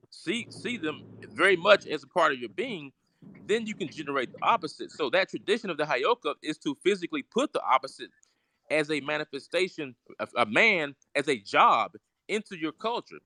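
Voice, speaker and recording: A man's voice: on the high side, bright, forceful tone, poor audio. A man in casual live-stream chat, rambling and reflective, laughing often. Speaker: doctor.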